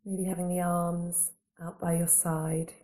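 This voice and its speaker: Very mellow, soft-toned woman guiding meditation. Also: fairly low pitch. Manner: calm, unhurried, gentle phrasing with long pauses. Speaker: meditation guide. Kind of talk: guided body scan meditation, slow soothing instruction.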